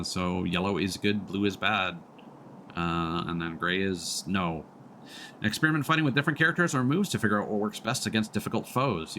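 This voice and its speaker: A man's voice: on the low side, fairly bright tone, a little hiss or hum. A man giving casual let's play commentary as a game streamer, reading on-screen text aloud. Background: faint game music.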